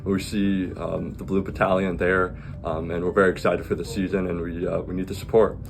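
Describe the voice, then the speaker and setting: Deep-voiced young man from Virginia. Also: flat delivery, fairly quick, gravelly, a little hiss or hum. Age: young adult. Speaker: college soccer player.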